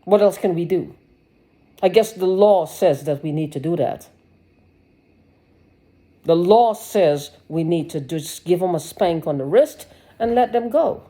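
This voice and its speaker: A woman's voice: lowish voice, animated, unhurried, long pauses, warm tone. A woman giving a rambling personal video monologue, agitated and sarcastic, swearing and restarting her sentences. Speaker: vlogger.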